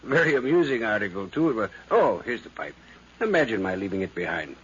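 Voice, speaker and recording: On a dull, faintly noisy old radio recording, a chatty, nosy neighbour. His lively voice swings about as he rambles genially, with quiet suspicion, in vintage drama style. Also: fairly low pitch, fairly quick. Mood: amused, chatty. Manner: chatty, rambling, folksy, apologetic. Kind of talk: 1950s radio drama acting.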